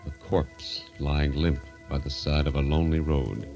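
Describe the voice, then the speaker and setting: A man's voice: extremely low pitch, flat delivery, normal speed. A man announcing a 1940s radio horror show in an ominous, theatrical, portentous manner from a script. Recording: muffled vintage radio broadcast over music. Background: dramatic music.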